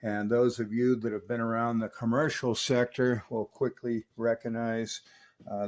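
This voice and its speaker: A man giving an unscripted, hands-on technical demonstration of equipment, explaining each step methodically. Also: medium pitch. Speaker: radio restorer demonstrating equipment.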